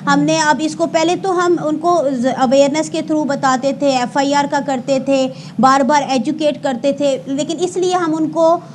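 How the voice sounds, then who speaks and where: A woman with a Pakistani accent and a very high voice speaks as an official spokesperson. She explains things formally, pausing and correcting herself at times. There is faint noise behind her. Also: fairly even intonation, a little mellow. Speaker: emergency service spokesperson, interview guest. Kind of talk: explanatory interview answers with statistics, Urdu mixed with English.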